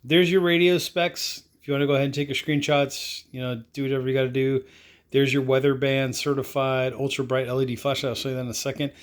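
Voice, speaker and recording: A man's voice: mid-range voice, little pitch movement, fast. A man doing a casual product unboxing review, talking in a rambling, offhand way full of fillers.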